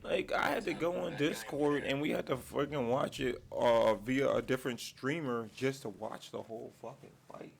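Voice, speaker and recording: A man who is sick with a raspy voice, hosting his channel and ranting in a casual, profane way from his man cave at home. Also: medium pitch, moderate pace, few pauses, a little hiss or hum. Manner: profane, heated rant. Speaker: channel host.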